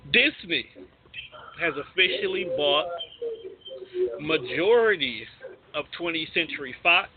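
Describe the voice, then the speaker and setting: A man hosting a casual radio show, explaining things in slangy, emphatic phrasing. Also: fairly high pitch. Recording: tinny phone-like line, faint noise.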